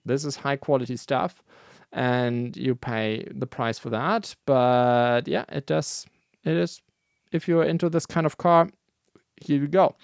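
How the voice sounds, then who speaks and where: Man, non-native English speaker, gravelly voice, dry close mic, casual rambling news host, lots of ums. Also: medium pitch, no echo. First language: not English. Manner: relaxed, rambling, many fillers and self-corrections. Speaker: host of a brick building news show.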